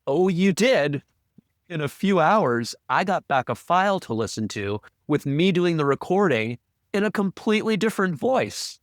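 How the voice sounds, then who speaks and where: A man's voice: on the high side, big pitch swings, moderate pace, no echo, studio quality. A man co-hosting a podcast in a conversational, explanatory style. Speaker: podcast co-host. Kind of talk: conversational production discussion.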